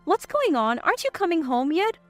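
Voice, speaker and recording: A woman's voice: very high voice, sing-song, brisk, dry recording, soft background music, voice pushed up. A woman playing an indignant, hurt young mother in a narrated story, emphatic and reproachful. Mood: upset, reproachful.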